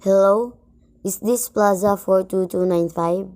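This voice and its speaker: Mellow, impersonal telegram clerk played by a woman. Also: mid-range voice, fairly even intonation, a little slow, lightly acted. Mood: businesslike. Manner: formal, detached. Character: impersonal, courteous female telegraph company clerk. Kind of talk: radio play acting.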